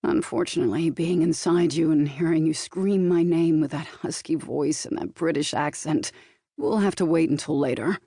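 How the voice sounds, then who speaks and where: A woman voicing a shrewd, teasing male vampire lover in audiobook dialogue, knowing and flirtatious in a low-key way. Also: moderate pace, mellow, slightly rough, no room sound, clean studio sound.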